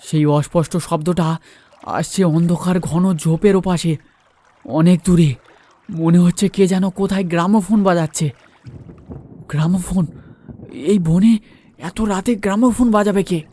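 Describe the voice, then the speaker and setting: A man's voice: high voice, fairly even intonation, unhurried, pauses often, warm tone, dry recording. A man telling a horror story as a storyteller, in dramatic first-person narration.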